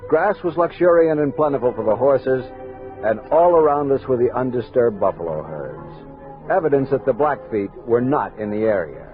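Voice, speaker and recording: A man performs a commanding American army captain telling his journal in 1960s radio drama style. The delivery is literary and grave, with a very mobile pitch. It is a band-limited old studio recording with prominent music. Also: sing-song, narrow, tinny audio, close to an everyday voice. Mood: measured, reflective. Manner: measured, literary storytelling with crisp orders.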